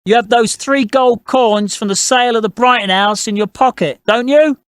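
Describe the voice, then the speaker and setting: A man's voice: very high-pitched, normal speed, clean tone, no room sound, pitched above the performer's own voice. A man voicing an assertive, polite family patriarch in audiobook dialogue, commanding and businesslike. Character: assertive gentlemanly father, a shrewd, moneyed family patriarch.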